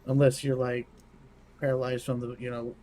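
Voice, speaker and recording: Mellow man chatting on a podcast. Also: medium pitch, completely flat delivery, normal speed. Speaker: podcast co-host. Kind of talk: casual podcast chat. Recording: faint background noise.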